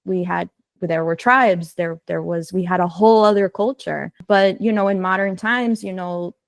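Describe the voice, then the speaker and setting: A woman's voice: lowish voice, steady tone, moderate pace, vocal fry, no room sound. An American woman co-hosting a podcast, talking casually and reflectively in an earnest, explanatory way. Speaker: podcast co-host.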